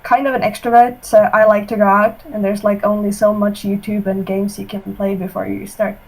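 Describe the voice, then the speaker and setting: Relaxed woman from Sweden. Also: mid-range voice, brisk, poor audio. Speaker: interviewee. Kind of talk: video call interview.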